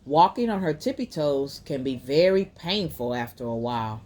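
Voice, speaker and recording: A woman voicing a gentle, patient mother explaining things in a children's storybook. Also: sits low, animated, moderate pace. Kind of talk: storybook character voice.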